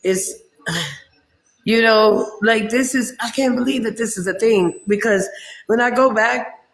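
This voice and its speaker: A man speaks as a guest on a casual live-stream panel, in an earnest, searching way with many restarts. His voice is high for a man. The recording carries an echoey room sound around him. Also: slow pace, vocal fry, a bit bright.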